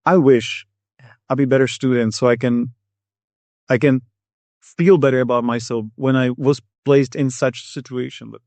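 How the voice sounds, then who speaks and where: A middle-aged Czech man with a Czech accent, a guest in an interview, telling a story in a rambling, animated way and acting out what people said. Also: medium pitch, normal speed, dry recording. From Czech Republic. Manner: rambling, animated, acts out quotes. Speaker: guest, Air Force master sergeant.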